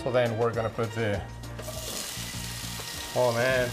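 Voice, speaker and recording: A man from Mexico City with a Mexican Spanish accent, a guest demonstrating a recipe in a kitchen and talking haltingly with many pauses and fillers. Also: mid-range voice, level tone. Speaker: guest cook. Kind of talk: cooking demonstration. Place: home kitchen. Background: background music and sizzling pan.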